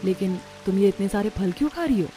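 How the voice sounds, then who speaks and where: A woman voicing a gentle, meek young woman in a cartoon story, speaking softly and patiently. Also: mid-range voice, animated, fast talker, faint music behind, close to an everyday voice. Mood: tender. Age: young adult. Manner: soft, patient, pleading. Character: gentle, meek, self-deprecating young dark-skinned sister. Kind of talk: Hindi cartoon story acting.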